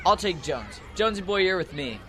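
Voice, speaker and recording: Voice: medium pitch, sing-song, fairly quick, over music, a crowd in the background, natural voice. A young male actor playing a grudging schoolboy team captain in a radio drama, casual and offhand. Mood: casual, choosing.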